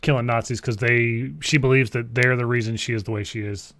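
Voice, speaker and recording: A man's voice: mid-range voice, fairly even intonation, brisk, a bit bright. A man co-hosting a show, commenting casually on movies and games in a blunt, profane manner. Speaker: show co-host.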